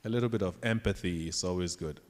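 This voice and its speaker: A man's voice: low voice, quick pace. A man with a Ghanaian accent giving a storytelling leadership talk to students as a professor, acting out bits of dialogue in an anecdotal way. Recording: echoey hall with long reverb.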